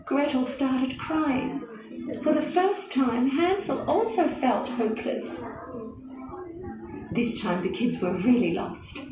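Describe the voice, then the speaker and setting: A woman reads a scripted children's tale with dramatic expression. Her voice sits high and moves widely up and down. It has a strong echo, with music playing behind. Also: expressive, slow, background noise. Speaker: storyteller. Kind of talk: scripted children's story narration.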